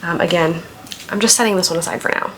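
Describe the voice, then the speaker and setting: A woman's voice: on the low side, fast, a little hiss or hum, poor audio. A woman vlogger getting over a head cold and still congested, chatting casually in a rambling way with lots of filler words. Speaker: book vlogger. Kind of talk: casual vlog chat.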